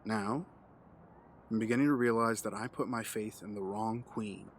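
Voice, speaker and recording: An actor playing a calm, condescending, menacing villain mastermind in an audio drama, measured and taunting. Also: male voice, lowish voice, a little hiss or hum, lightly acted. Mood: smug, persuasive.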